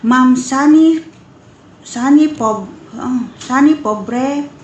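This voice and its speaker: Slow, echoey Ilocano woman reading greetings. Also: sits high, darkish tone, highs cut off. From northern Philippines. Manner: halting, stumbles over names. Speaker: listener sending shout-out greetings. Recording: echoey room with faint background noise.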